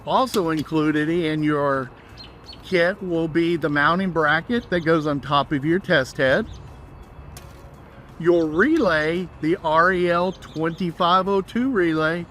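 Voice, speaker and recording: A man's voice: a little high, slow pace, a bit bright, slight background noise. A man giving an instructional walkthrough as a trainer, explaining each step plainly.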